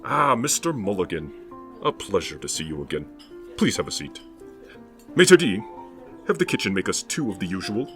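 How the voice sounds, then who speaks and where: An actor playing a formal, wordy 1920s gentleman hosting a dinner. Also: low voice, very animated, moderate pace, long pauses. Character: genteel, long-winded 1920s gentleman host. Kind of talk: 1920s period audio drama. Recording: music playing behind.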